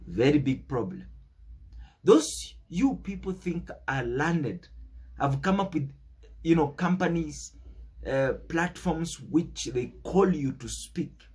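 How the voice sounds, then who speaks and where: A man's voice: on the high side, expressive, slow pace, darkish tone, slightly rough. A young man with a Kenyan accent giving an emphatic, preachy unscripted political monologue, stressing words and repeating phrases. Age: young adult. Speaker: political commentator.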